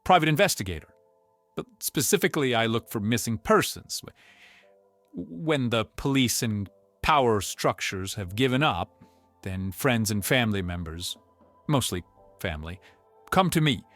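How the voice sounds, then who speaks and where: Guy acting as an obsessive missing persons detective, argumentative, pitch jumping around, audio drama. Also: lively intonation, fairly bright tone, faint music behind. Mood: earnest. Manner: halting, insistent, argumentative. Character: defensive, obsessive male amateur investigator of missing persons.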